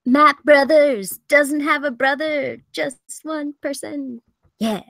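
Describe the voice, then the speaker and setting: A woman's voice: high voice, steady tone, slow pace, creaky, a bit bright, no echo. A woman giving a casual, candid critique in a conversational way. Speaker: table read participant giving feedback.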